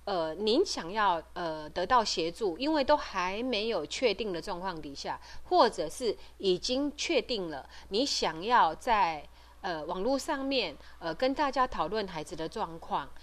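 A middle-aged woman with a Taiwanese Mandarin accent giving informal advice as a host, explaining things hesitantly with frequent pauses and trailing particles. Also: medium pitch, animated. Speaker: podcast host and parent advocate. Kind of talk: informal advisory talk.

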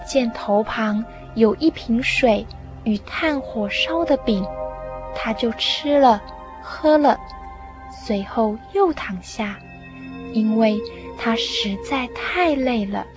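A woman narrating a radio drama with measured, expressive scripted storytelling. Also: fairly high pitch. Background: music.

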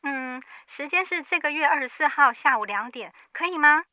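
An actress playing a courteous university staff member in a radio drama. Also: female voice, sits high, expressive, normal speed, sharp tone, no room sound, heavily acted. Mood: polite, friendly. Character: polite university staff woman organizing a talk.

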